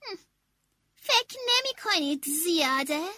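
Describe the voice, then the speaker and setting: A woman's voice: high-pitched, bright, forceful tone, no echo. A woman doing a grumbling, stubborn cook. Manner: grumbling, slow and firm. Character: grumbling palace cook, firm.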